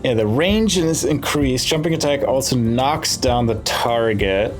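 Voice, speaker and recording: A man giving casual gameplay commentary, thinking aloud in short, musing phrases with pauses between them. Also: mid-range voice. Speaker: let's play host. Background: game music.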